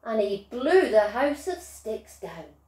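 A woman's voice: fairly high pitch, very animated, slow pace, warm tone. A woman reading a children's story in a sing-song, emphatic way, as if to young children. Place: small living room, indoors. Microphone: distant camera. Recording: roomy echo, far from the mic.

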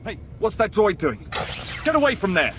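A man's voice: sits high, wildly swooping pitch, moderate pace, fairly bright tone, a little hiss or hum, heavily acted. A man playing a hostile, contemptuous Imperial lieutenant in a video game, barking his words. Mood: hostile, threatening.

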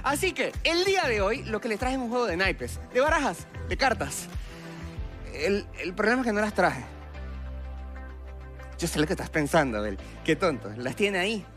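A man's voice: high voice, sing-song, normal speed, a bit bright. Venezuelan guy in his 20s, stage magician talking to judges, theatrical, music playing under him. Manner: theatrical, addressing the judges directly. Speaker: stage magician, talent show contestant. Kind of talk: live stage magic patter. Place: large TV studio theatre stage. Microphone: headset.

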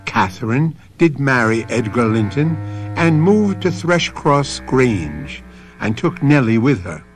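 A man hosting and narrating a radio drama as a measured, theatrical storyteller. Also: medium pitch, big pitch swings, faint music behind. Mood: solemn. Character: theatrical storyteller host of a mystery anthology. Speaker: host and narrator.

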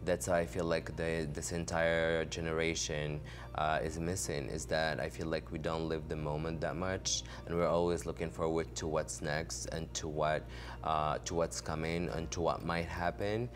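A young man from Morocco speaks in a very low voice as a fashion designer guest on a studio couch. He answers in a relaxed, casual way, leaning on "like" fillers, into a desk mic while music plays clearly underneath. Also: normal speed. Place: studio set, seated on a couch. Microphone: desk microphone on a stand.

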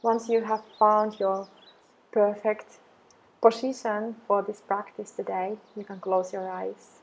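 A woman guiding an affirmation meditation in a soothing, measured way, with long pauses between deliberate phrases. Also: mid-range voice, level tone, gravelly. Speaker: meditation guide.

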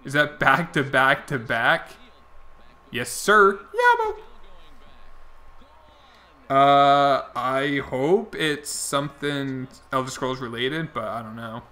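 A man's voice: medium pitch, big pitch swings, slow pace, long pauses, pushed and bright. A young man streaming a baseball video game, rambling casually and answering his chat viewers, his voice getting worn out. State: losing his voice. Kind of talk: casual chat while playing.

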